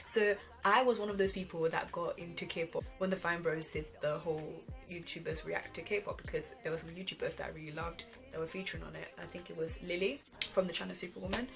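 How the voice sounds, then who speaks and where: A woman's voice: on the low side, steady tone, fast, vocal fry. A 21-year-old woman from Ghana who later moved to England, chatting casually and conversationally as a vlog host. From Ghana, later England from age twelve. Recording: background music under voice. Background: R&B pop music.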